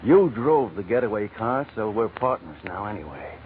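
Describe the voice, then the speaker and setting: An actor playing a menacing, sly gambler and killer in an old-time radio crime drama, talking tough, slangy and bossy. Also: male voice, lowish voice, very animated, moderate pace. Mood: coolly bossy. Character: menacing male gambler and killer, slyly threatening. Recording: muffled, phone-like old radio recording, noisy.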